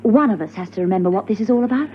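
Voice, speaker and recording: An actress playing a cool, witty, self-assured female secret agent in a radio drama, with crisp teasing retorts. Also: medium pitch, lively intonation, rapid-fire, natural voice. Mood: wry. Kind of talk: spy radio drama acting. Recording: muffled, hissy old radio recording.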